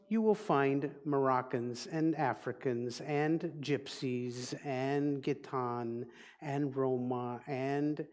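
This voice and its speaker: A man with a Dutch accent, a native Dutch speaker, giving a reflective sermon as a minister in a deliberate, teaching manner. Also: mid-range voice, darkish tone. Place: church sanctuary. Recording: long reverb of a large hall.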